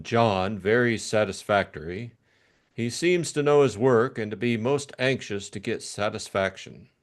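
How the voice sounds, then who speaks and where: Casting: male reader as formal, measured butler, deferential to the household, audiobook drama, lively pitch movement.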